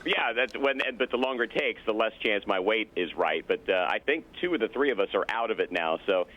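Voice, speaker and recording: Fast-talking man on a dull video call. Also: fairly low pitch, lively intonation. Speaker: radio morning show host as guest. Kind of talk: casual interview chat.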